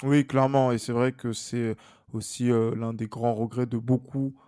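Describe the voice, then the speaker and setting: A man with a mid-range voice and no room sound around it. He speaks as a podcast panelist, reflecting in long, winding sentences at a measured step. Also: mid-range voice, little pitch movement, mellow, dry recording, studio quality.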